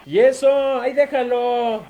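A man's voice: extremely high pitch, fairly even intonation, unhurried, phone-quality sound, a little hiss or hum. A man with a Mexican accent hosting a morning radio show, bantering and teasing callers in a playful way. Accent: Mexican Spanish. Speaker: radio host. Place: radio studio. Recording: radio broadcast with music underneath.

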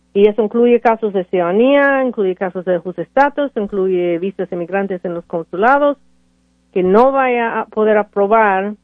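A woman's voice: medium pitch, no echo. A woman lawyer answering callers on a radio call-in show, hesitant, with many fillers and restarts. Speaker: immigration lawyer. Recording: tinny phone-like radio audio, dry.

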